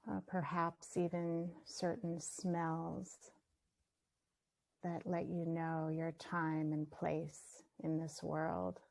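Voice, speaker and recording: A woman leading a guided meditation, speaking gently and leaving long pauses between her phrases. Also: sits low, completely flat delivery, vocal fry, poor audio. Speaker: meditation teacher.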